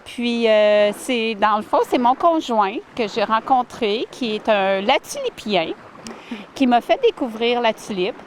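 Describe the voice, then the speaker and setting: A woman, answering interview questions warmly and earnestly outdoors by a lake, with many fillers and restarts. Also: on the high side, expressive, measured pace, a little hiss or hum. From Ottawa, Canada. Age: 40s. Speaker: village mayor, interviewee.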